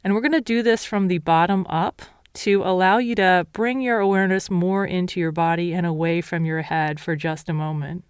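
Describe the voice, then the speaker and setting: A woman meditation teacher leading a guided meditation in a calm, measured, instructional way. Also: lowish voice, smooth, no echo, studio quality.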